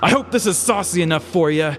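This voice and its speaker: Man acting a pompous, outraged boyfriend, pitched up. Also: brisk, a bit bright, in a large hall, a little hiss or hum. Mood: menacing, worked up. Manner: pompous, indignant. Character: prudish, outraged boyfriend scolding in mock indignation.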